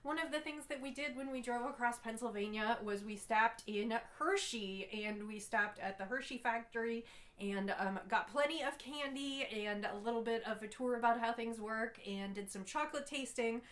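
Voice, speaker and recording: A woman's voice: fairly high pitch, moderate pace, fairly bright tone, roomy sound. A woman hosting a casual knitting video podcast, stuffy and congested from a cold, chatting along with frequent ums. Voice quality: nasal, stuffy. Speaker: podcast host.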